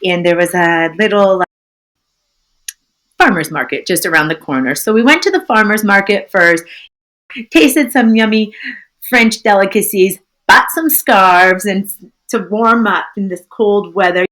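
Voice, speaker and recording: A woman from Canada with a friendly, sing-songy voice, a guest telling lively stories in a casual interview and laughing often. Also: mid-range voice, unhurried. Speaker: tour business owner, guest.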